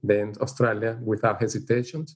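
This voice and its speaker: Salvadoran man, low unhurried voice. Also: steady tone, slightly rough. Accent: Salvadoran Spanish. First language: Spanish. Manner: unhurried, halting, with frequent "you know" and light laughs. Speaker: interviewee, teacher. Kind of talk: casual life-story interview.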